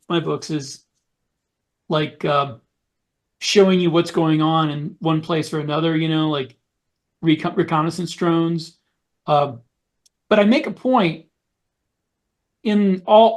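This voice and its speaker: A man with a somewhat high voice speaks on a casual panel podcast. He gives frank, firm opinions but pauses often and fills the gaps with filler words. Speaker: podcast co-host and author.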